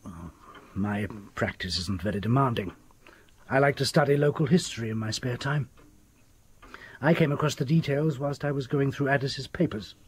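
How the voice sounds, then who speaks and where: An actor playing an obsessed, fearful country doctor in a radio drama, with a husky voice and formal, ominous manner. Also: male voice, medium pitch, normal speed, slight background noise, close to an everyday voice. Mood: grave, ominous. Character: desperate, frightened country doctor obsessed with the occult.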